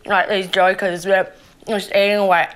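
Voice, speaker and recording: A woman's voice: mid-range voice, flat delivery, normal speed, a bit bright, smooth, lightly acted. An actress playing an opinionated young female zombie with a conscience, ranting conversationally and swearing freely in an Australian accent, as if interviewed for a mockumentary. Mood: wistful, disillusioned. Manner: sweary, indignant, conversational rambling.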